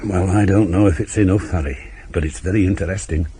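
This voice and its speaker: An actor playing a shrewd, dogged police inspector in a radio crime drama, with a terse, probing, ruminative manner. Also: male voice, deep voice, fast, mellow, a little hiss or hum, natural voice. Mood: menacing, coolly pressing.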